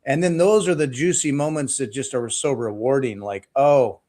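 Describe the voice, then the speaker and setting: A man hosting an interview, easygoing and folksy, talking in a relaxed way with sayings and fillers. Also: medium pitch, normal speed. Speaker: podcast host. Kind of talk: casual interview conversation.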